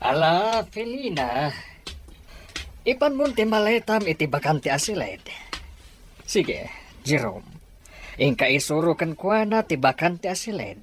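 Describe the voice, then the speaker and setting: A woman's voice: sits low, big pitch swings, a little slow, pauses often, a little hiss or hum. A woman playing an old village grandfather in an audio drama, indulgent but firmly in charge. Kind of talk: Ilocano audio drama acting.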